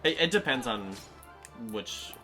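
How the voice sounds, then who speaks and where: A man's voice: medium pitch, sing-song, a little slow. A man playing a video game and chatting casually, somewhat hesitant, with short muttered exclamations. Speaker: gaming show co-host playing the game. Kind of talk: casual let's play chat. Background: video game music.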